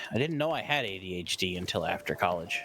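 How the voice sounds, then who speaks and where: A man's voice: low voice, lively intonation, fairly quick, bright, forceful tone, poor audio. A man talking casually as a guest co-streamer on a game stream. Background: music.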